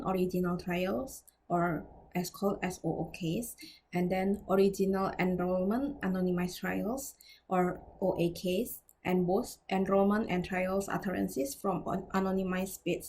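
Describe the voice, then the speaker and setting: A woman giving a scripted academic presentation as a researcher, reading formally and carefully with slightly halting phrasing. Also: mid-range voice, steady tone, dark tone, slight background noise.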